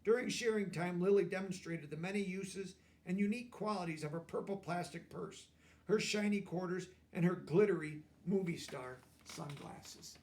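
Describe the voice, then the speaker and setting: A man reads a picture book for young children. His voice is very creaky and a touch high for a man. He is expressive and drops into simple explanatory asides as he goes. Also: moderate pace. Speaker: storybook reader.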